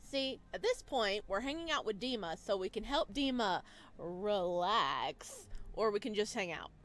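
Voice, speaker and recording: A woman hosting a visual novel let's play, playful and expressive as she chats and reads aloud. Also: mid-range voice, a little slow. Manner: playful, expressive reactions, addresses viewers. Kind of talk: visual novel playthrough, chatty commentary and read-aloud narration.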